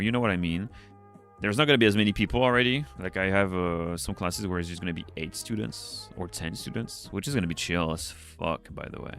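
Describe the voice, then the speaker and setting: A man with a deep voice, English not his first language, chatting casually on a livestream as he draws, rambling, muttering to himself and swearing now and then. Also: fairly bright tone. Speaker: streamer. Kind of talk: casual livestream chat while drawing.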